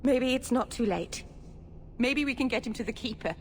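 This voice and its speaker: An actress playing an earnest young female elf mage, gentle but stubborn, in a fantasy video game. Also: fairly high pitch, lively intonation, normal speed, a little hiss or hum, close to an everyday voice. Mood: worried, urgent.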